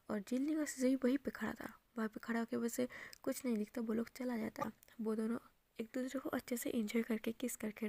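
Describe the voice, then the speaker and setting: A woman narrating a romance story in a soft, intimate whisper. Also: a little high, gravelly, no room sound.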